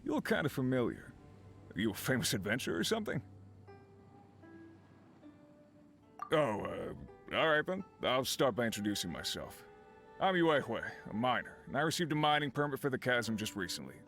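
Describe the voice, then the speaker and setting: Earnest miner, music behind. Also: male voice, mid-range voice, sing-song, brisk, vocal fry, a bit bright. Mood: friendly, earnest. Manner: conversational, earnest, explanatory. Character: male miner crew leader, easygoing, uneasy, earnest. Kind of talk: video game character dialogue. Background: game background music.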